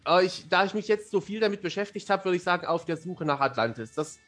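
A man's voice: high-pitched, lively intonation, fast, fairly bright tone, soft background music. A man, talking at length in a casual interview and explaining things as he goes. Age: 30s. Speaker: fantasy author, podcast guest.

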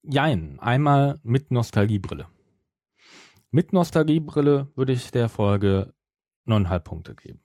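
A middle-aged man, co-hosting a casual review podcast in a measured, qualifying manner. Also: mid-range voice, sing-song, darkish tone, no echo, studio quality. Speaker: podcast co-host.

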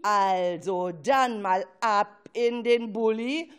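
A woman's voice: mid-range voice, slow pace, smooth. A woman presenting a children's radio play in a scripted, encouraging way, speaking directly to her young listeners. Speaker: presenter accompanying schoolchildren in an audio play.

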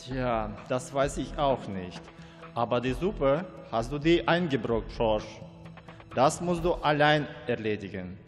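Man playing a slow-talking crooked village vet boss. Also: medium pitch, lively intonation, big-room sound, soft background music. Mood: cold, threatening. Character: scheming male village vet, crooked boss, cold and menacing. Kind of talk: amateur radio play acting.

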